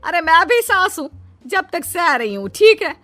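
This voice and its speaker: A woman voicing a bossy, scheming older mother-in-law in a cartoon who calls out loudly and boasts. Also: very high-pitched, very animated, unhurried, soft background music, full character voice. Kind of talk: Hindi cartoon voice acting.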